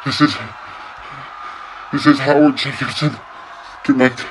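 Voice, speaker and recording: A man playing a doomed radio news reporter, giving a halting, stammering eyewitness broadcast in an old-time radio drama. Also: medium pitch, level tone, noisy background, natural voice. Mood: panicked. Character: doomed male radio reporter, dread turning to panic.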